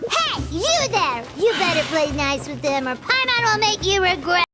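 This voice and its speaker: A woman voicing a tiny, bossy, excitable childlike fairy companion in a video game, with squeaky, exclamatory delivery. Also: normal speed. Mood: bossy, indignant. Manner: indignant, exclamatory. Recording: loud game music underneath.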